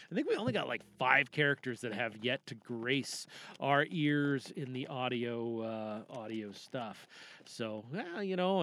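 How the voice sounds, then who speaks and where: A male podcast host with a very bright, sharp voice in a dry booth. He rambles casually, drifting off track and poking fun at himself. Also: a little high, no echo. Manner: rambling, self-deprecating, scattered. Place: recording booth.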